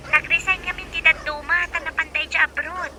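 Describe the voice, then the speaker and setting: An actress playing a helpful, friendly young nurse talking to a friend in a radio drama. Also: female voice, extremely high pitch, little pitch movement, a little fast. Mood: welcoming. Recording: very noisy, with ambient sound.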